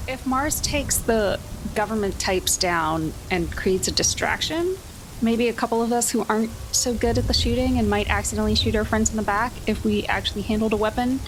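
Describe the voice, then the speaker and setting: A woman's voice: on the high side, brisk, rough recording. A woman playing a tabletop game, talking casually with the group. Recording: clear ambient background noise.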